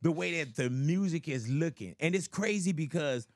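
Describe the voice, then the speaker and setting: Laid-back host with a dry close sound. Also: male voice, on the high side, expressive, moderate pace, no echo. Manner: laid-back, frequent "right, right" backchannels. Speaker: interview host. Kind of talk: casual interview chat.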